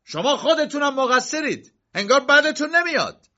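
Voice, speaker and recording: A sneering, impatient official with a clipped, sarcastic edge, as a male narrator puts him on. The voice sits higher than the narration and has a pushed, lively lift. The sound is dull and lo-fi. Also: full character voice. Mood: angry. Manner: sarcastic and mocking. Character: irritated, mocking middle-aged male bank official. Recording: dull lo-fi recording.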